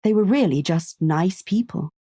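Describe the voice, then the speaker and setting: A woman narrating a scripted story in a measured, understated way, close on the microphone. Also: fairly low pitch, animated, dark tone, breathy edge, no room sound.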